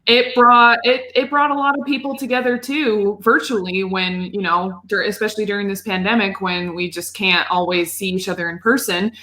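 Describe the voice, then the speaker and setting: A woman's voice: medium pitch, level tone, moderate pace, hardly any pauses, bright, forceful tone, poor audio. A woman co-hosting a casual group chat about video games. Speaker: podcast co-host.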